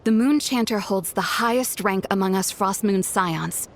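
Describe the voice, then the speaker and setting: A woman's voice: mid-range voice, unhurried, natural voice. A woman playing a breezy, loyal young follower in a video game, casual and teasing in manner. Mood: proud, teasing.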